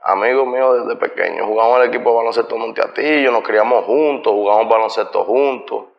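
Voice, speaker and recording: A middle-aged man with a Puerto Rican Spanish accent talks easily as an interview guest. His voice sits at an ordinary pitch and sounds dull and lo-fi. It also carries a long reverb, picked up by a camera across a bright living room. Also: brisk, vocal fry. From Puerto Rico. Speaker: guest, musician. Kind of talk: casual interview.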